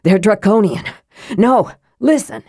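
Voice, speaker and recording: Lady doing a big hearty warrior guy, blunt n urgent, mellow storybook voice. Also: deep voice, very animated, unhurried, dry recording, studio quality, full character voice. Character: hearty, plain-spoken male warrior, worried brother. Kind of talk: audiobook character acting.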